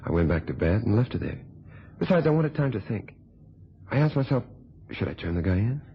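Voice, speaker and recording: An actor playing a cool, calculating blackmailing reporter in a radio thriller, with a husky voice and a smug, sardonic way of telling things. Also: male voice, lowish voice, very animated, slight background noise. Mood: callous, amused. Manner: smug, sardonic, unhurried storytelling. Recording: dull, lo-fi old radio recording.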